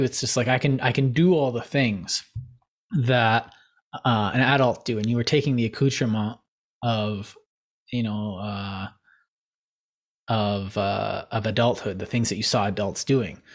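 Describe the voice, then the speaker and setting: A man in the middle of his own range, co-hosting a relaxed podcast conversation. He wanders through long, looping thoughts, reaching for fancy words and then poking fun at them. His tone is loose and self-mocking. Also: medium pitch. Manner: rambling, digressive, laughs at himself. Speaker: podcast co-host. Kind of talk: casual conversational chat.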